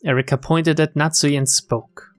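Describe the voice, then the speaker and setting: A man's voice: a little high, steady tone, normal speed, dry recording, faint music behind. A man streaming a game, reading story text aloud and adding casual comments. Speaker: streamer and narrator.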